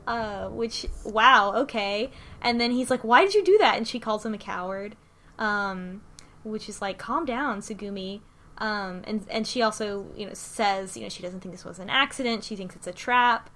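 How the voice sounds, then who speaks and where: A woman's voice: medium pitch, fairly bright tone. A woman casually recapping and discussing a story, often stopping to correct herself. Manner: halting, self-correcting. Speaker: podcast host.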